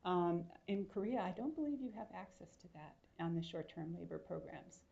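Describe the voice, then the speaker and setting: A woman's voice: on the low side, lively intonation, normal speed, gravelly, mellow. A woman researcher answering audience questions at a seminar, explaining at length and checking in with her listeners. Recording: dull lo-fi sound.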